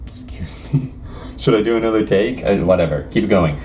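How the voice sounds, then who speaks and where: A man's voice: fairly low pitch, lively intonation, normal speed, a little mellow, a little hiss or hum. A young man casually reacting to anime news, reading quotes aloud and rambling with lots of hesitations.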